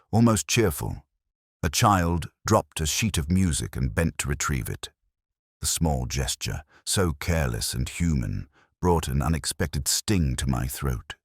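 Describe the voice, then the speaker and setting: A man performs a melancholy male detective looking back on a case in scripted first-person narration. His voice is extremely deep, yet it moves expressively up and down. The delivery is measured, solemn and contemplative, in a dry, close sound without room echo. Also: heavily acted. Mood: melancholy, reflective. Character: weary, melancholy male English detective narrator, reflective and literary.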